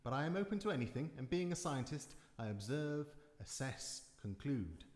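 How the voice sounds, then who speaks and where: A man's voice: medium pitch, sing-song, moderate pace, a little mellow, natural voice. A man playing a sober, blunt but polite scientist with a precise, dry manner in a live radio drama. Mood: dry, defensive. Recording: echoey hall, live stage performance.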